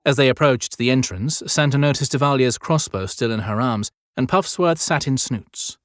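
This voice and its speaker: A man's voice: medium pitch, moderate pace, fairly bright tone, no room sound, studio quality. A man narrating scripted fiction as an audiobook storyteller.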